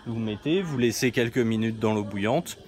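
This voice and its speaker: A man's voice: fairly low pitch, normal speed, a little mellow. A man in his thirties, filming himself with a phone at arm's length outside a small village workshop, explaining things casually with lots of fillers and restarts. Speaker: travel vlogger. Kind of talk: casual explanatory vlog narration. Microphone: phone camera at arm's length. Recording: noisy outdoor phone recording.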